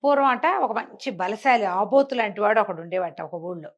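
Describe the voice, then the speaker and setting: A woman's voice: medium pitch, animated, normal speed, lo-fi sound. A woman telling folk tales conversationally, explaining as she goes and posing rhetorical questions. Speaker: storyteller.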